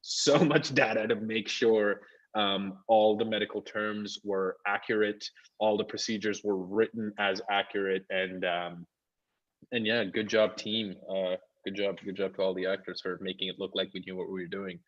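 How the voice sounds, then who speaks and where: A man's voice: fairly low pitch, level tone, normal speed, lo-fi sound. A man who grew up in Saudi Arabia, an actor talking casually on a panel in a joking, earnest way with lots of fillers. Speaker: actor on the show.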